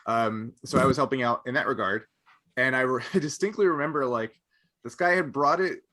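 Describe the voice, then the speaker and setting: A man's voice: mid-range voice, fairly quick, pushed and bright. A man co-hosting a casual panel chat podcast, talking in a rambling, anecdotal way. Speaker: podcast co-host.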